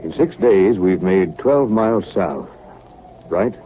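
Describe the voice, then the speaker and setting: A grave, steadfast expedition captain in 1930s radio style. His voice sits very deep and he speaks with measured authority. The old broadcast recording is boxy and noticeably hissy. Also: lively intonation. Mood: grave, matter-of-fact. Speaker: radio actor. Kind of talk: 1930s radio drama acting. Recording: muffled, noisy 1938 radio broadcast recording.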